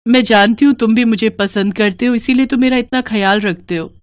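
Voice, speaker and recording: A woman's voice: on the high side, fairly even intonation, a little fast, no echo, clean studio sound, voice pushed up, natural voice. A woman voicing an earnest, emotional young daughter-in-law in a family storybook drama. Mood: affectionate. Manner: earnest, pleading.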